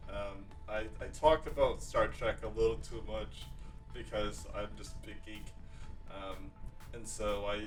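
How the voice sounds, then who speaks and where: A man's voice: fairly low pitch, far from the mic, low-quality recording. A man hosting a podcast in a casual, rambling conversational way, often repeating his phrases. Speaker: podcast host. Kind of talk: casual conversational interview talk.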